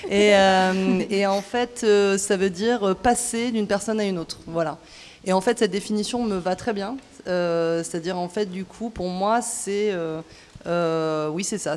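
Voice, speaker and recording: A woman's voice: fairly low pitch, a little mellow. A woman stage director and author, talking in a live festival interview, hesitant, with many fillers and restarts. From southern France. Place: outdoor cloister at a festival. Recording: echoey outdoor cloister, live broadcast.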